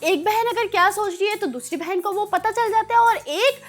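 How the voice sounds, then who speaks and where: A woman with a Pakistani accent and a high voice hosts a children's program. She presents in a playful, encouraging way, addressing young children directly. Music plays behind her. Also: moderate pace. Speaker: children's show host.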